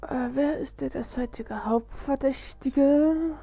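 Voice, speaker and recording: A woman's voice: sits high, slow. A woman giving casual gameplay commentary, muttering and reading prompts aloud. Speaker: gamer. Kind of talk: casual game playthrough commentary. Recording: dull lo-fi microphone, faint game music.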